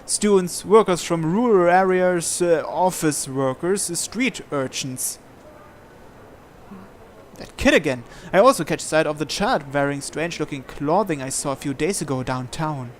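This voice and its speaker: A person reading a visual novel aloud as a gamer, narration and dialogue alike, with game music behind. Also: female voice, sits low, measured pace, a little hiss or hum.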